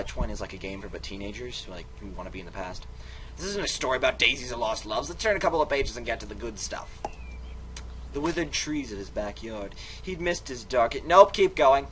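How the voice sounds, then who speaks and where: A man's voice: mid-range voice, a bit bright, a little hiss or hum. A man giving a mock-dramatic storybook reading of a text game, often breaking into casual, self-interrupting asides. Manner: theatrical, comically self-interrupting. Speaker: reader and player of a text game.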